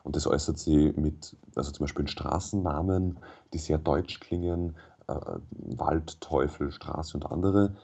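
Very deep, husky-voiced German man. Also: fairly even intonation, dark tone. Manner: measured, explanatory, with frequent hesitations. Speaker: interview guest. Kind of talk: thoughtful radio interview answers.

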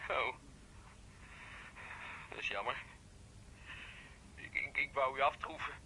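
A man's voice: mid-range voice, slow pace, sharp tone, slight background noise, full character voice, low-quality recording. An actor playing a volatile, jealous young man in a radio play, agitated and stammering. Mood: sullen, challenging. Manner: agitated, stammering, repeating himself. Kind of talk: naturalistic radio play acting.

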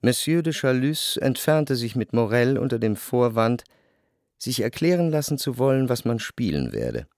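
An actor playing an observant salon guest who narrates in the first person in a literary radio drama. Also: male voice, medium pitch, big pitch swings, normal speed, a little mellow, no room sound, clean studio sound. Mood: observant, composed.

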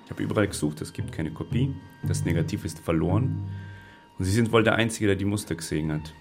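An actor playing a curt, disillusioned radio editor in a radio drama, speaking in blunt, clipped phrases. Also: male voice, sits low, quick pace, creaky, darkish tone, soft background music. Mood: earnest.

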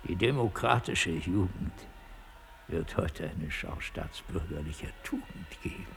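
A formal, authoritative general in a radio play. His low voice is gravelly and takes its time over measured, official phrases, with faint background noise. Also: exaggerated voice. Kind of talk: radio drama acting.

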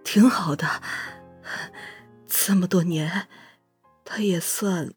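A woman playing a hesitant, regretful middle-aged mother in an audio drama, gentle and halting. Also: lowish voice, soft background music. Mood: quietly regretful.

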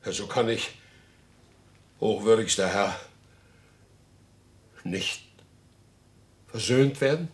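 An actor in a German radio play, playing a proud, stubborn horse dealer who demands justice in solemn, formal speech. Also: male voice, on the low side, takes it slowly, pauses often. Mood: solemn pleading.

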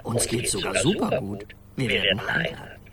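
Lively, wry man in love. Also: lowish voice, moderate pace, over a noisy crowd. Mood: wry, wooing. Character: ardent, witty man proposing to his distant lover. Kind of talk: radio drama dialogue.

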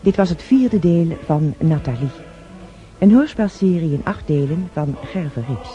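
Muffled old radio recording, male announcer, formal, measured credits reading, very mellow tone, music behind. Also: fairly high pitch, expressive.

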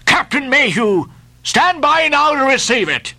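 A man's voice: high-pitched, lively intonation, unhurried, sharp tone, no room sound, pitched above the performer's own voice, heavily acted. A man voicing a stern old whaling captain in an audiobook, imperious and blunt, with archaic seafaring speech. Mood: angry yelling. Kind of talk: dramatic audiobook character voice.

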